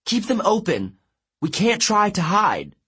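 Guy as a brave girl hero in a fantasy story, higher voice, calm and firm, bit husky. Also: a little slow, exaggerated voice. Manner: soothing, firm, determined. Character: brave, reassuring young heroine, steady and soothing.

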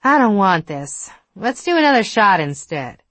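A woman voicing a tipsy, chatty young Southern woman in an audiobook, gushing and teasing with an excitable manner. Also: mid-range voice, moderate pace, no room sound, voice pushed up. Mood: breezy. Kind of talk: audiobook character dialogue.

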